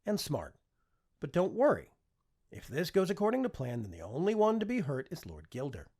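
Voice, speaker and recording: Guy doing a cocky mischievous prince for an audiobook, bossy, animated, bit raspy. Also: on the high side, moderate pace, voice pushed up, exaggerated voice. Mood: confident, probing. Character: confident, scheming, mischievous young prince.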